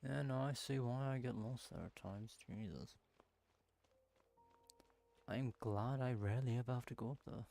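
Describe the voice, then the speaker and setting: A man's voice: mid-range voice, normal speed, creaky, pauses often, mellow, faint music behind. A man giving casual gameplay commentary, muttering at himself in exasperation. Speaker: gameplay streamer.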